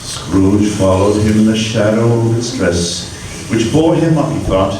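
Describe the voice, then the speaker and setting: A man narrating a radio play in a measured, dramatic manner, heard on an old broadcast recording. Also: on the low side, animated, a little mellow, heavy reverb, recorded from a distance, slight background noise, poor audio. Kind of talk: scripted narration.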